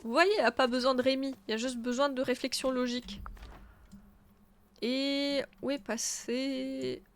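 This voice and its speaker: A woman's voice: sits high, little pitch movement, measured pace, slight background noise. A woman with a stuffy nose chatting casually as she streams a game, thinking aloud and answering her chat. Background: faint game music.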